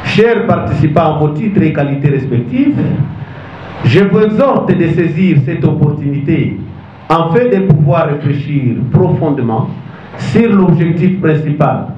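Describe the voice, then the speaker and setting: A man with a Congolese accent reading a solemn, halting formal opening speech as an official. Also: a little high, animated. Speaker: government official opening a conference. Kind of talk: formal speech read to an audience. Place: meeting hall. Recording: echoey room, dull sound, faint noise.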